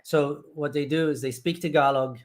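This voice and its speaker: A man's voice: fairly high pitch, fairly even intonation, moderate pace. A man hosting a casual vlog chat, explaining things and prompting his co-host with questions.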